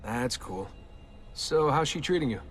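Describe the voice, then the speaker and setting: An actor playing a laid-back young assassin recruit in a video game, plain-spoken and questioning in a casual way. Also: male voice, medium pitch, lively intonation, measured pace, natural voice. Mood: relaxed. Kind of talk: game cutscene dialogue. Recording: music under the dialogue. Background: music.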